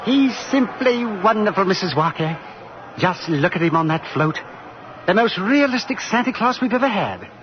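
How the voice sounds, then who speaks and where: A high, swooping male voice from a muffled old radio play. He is an excitable store executive, fussy and full of gush. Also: normal speed. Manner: effusive, fussy. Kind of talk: 1940s radio acting. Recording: muffled, noisy vintage radio broadcast.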